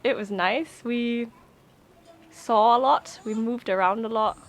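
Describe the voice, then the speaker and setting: A woman whose first language is German, a guest in a casual chat, answering softly with frequent laughs and hesitant pauses. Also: fairly high pitch. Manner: soft-spoken, laughs often, hesitant with ums.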